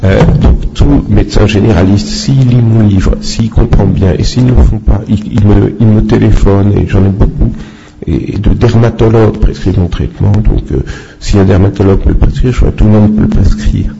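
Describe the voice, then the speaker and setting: A man speaking off the cuff in a halting, opinionated way as a doctor answering questions at a public talk. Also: fairly low pitch, steady tone, vocal fry, warm tone. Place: public meeting with microphone. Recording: muffled, noisy public-event recording.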